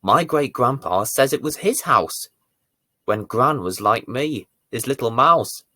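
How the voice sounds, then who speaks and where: A man reading a bedtime story to young children as its presenter, with deliberate, theatrical emphasis. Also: medium pitch, animated, no echo, clean studio sound. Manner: deliberate, theatrical emphasis with dramatic swells and hushes.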